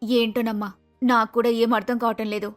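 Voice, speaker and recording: A woman voicing a patient middle-aged mother in a cartoon moral story, gentle and reasoning. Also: a little high, level tone, a little fast, faint music behind, pitched below the performer's own voice, lightly acted. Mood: helpless.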